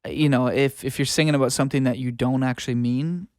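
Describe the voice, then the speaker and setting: A man's voice: medium pitch, fairly even intonation, quick pace, a little mellow, no room sound, clean studio sound. A young man talking casually and informally as a podcast guest, dotting his speech with filler phrases. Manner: informal, frequent "like" and "you know". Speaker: guest, music producer and audio engineer. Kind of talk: casual chat.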